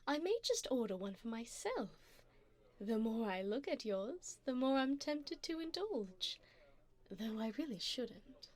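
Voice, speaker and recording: A woman plays a refined, predatory vampire lady in an ASMR roleplay. Her voice sits a little high and moves very slowly. She is calm and formal, teasing the listener with flirtatious endearments.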